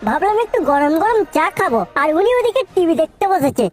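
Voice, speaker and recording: A woman's voice: extremely high pitch, very animated, a little fast. A woman voicing a henpecked, hot-tempered cartoon husband who grumbles coarsely and schemes in whiny indignation. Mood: indignant. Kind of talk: comic cartoon dialogue in colloquial Bengali. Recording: loud music under the voice.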